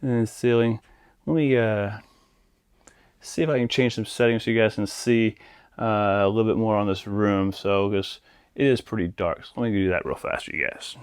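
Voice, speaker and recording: A man with a fairly low voice guides viewers through an informal tour. He talks off the cuff and speaks to them like friends. The sound is dry, with little room echo. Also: steady tone, normal speed, clear, resonant tone. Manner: informal, addressing viewers as guys. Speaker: tour guide and vlog host. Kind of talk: casual off-the-cuff walkthrough.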